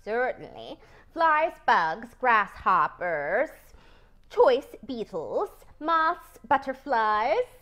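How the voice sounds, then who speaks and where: A woman plays a genteel storybook spider lady with a thin, high, pleasant voice. She speaks slowly and precisely, matter-of-fact and sure of herself, with lively swoops in pitch. Music plays behind her. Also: full character voice. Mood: relishing, matter-of-fact. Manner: fancy wording, self-assured, precise. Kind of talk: expressive children's storybook character reading.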